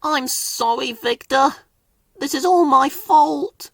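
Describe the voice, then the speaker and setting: A man's voice: very high-pitched, takes it slowly, fairly bright tone, no room sound, voice pushed up, exaggerated voice. A man voicing an eager, bossy young tank engine in a children's storybook, sounding self-important and sure of himself. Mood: sorry, sad.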